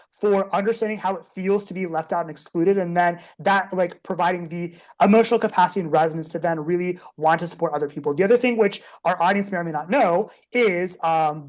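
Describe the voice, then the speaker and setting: A woman's voice: fairly low pitch, a little mellow. A young woman hosting a podcast, asking questions and reflecting thoughtfully with frequent fillers. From United States, Indian American. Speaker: podcast host.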